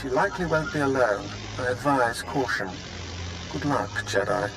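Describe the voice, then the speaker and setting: A calculating video game villain with a mid-range voice. He is formal, measured and coolly polite, every line like a negotiation. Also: mid-range voice, animated, gravelly, low-quality recording. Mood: coolly persuasive. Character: composed, calculating male villain offering polite deals. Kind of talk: video game cutscene acting.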